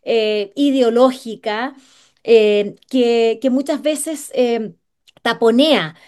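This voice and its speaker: Host: high-pitched woman from Chile, halting and searching for her words. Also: a little mellow. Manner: hesitant, many fillers and restarts. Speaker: radio show host. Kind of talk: conversational interview.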